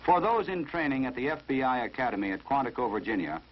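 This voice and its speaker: An American man reading scripted narration for a 1950s instructional film in a formal, measured, authoritative manner. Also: medium pitch, very animated. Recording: dull, muffled vintage 1950s film soundtrack.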